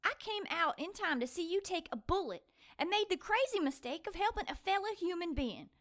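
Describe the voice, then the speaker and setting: An actress playing an excitable, sassy young woman in an audio drama, sarcastic and quick to take offense. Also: very high-pitched. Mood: indignant. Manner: sarcastic, feisty, fast-reacting.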